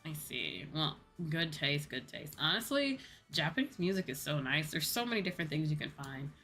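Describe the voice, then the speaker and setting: Young female VTuber, slightly low voice, casual informal stream chat over background music. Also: big pitch swings, moderate pace, sharp tone. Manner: chatty, informal, repeats phrases.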